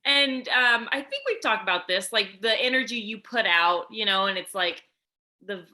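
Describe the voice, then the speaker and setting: A woman's voice: mid-range voice, animated, normal speed, pushed and bright. A woman co-hosting a podcast in casual chat, playful and giggly, often trailing off mid-sentence. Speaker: podcast co-host, author.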